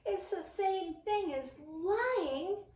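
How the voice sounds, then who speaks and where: Woman reading small boy mouse, squeaky, tearful, sorry; high voice, distant mic. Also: expressive, extremely slow, a little mellow, full character voice. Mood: earnest. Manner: remorseful, pleading. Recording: roomy sound from a distant mic.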